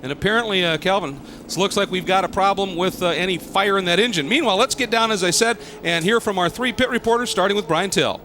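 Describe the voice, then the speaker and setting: A man's voice: sits high, fast, pushed and bright, a little hiss or hum. A man calling a sports car race as the play-by-play commentator.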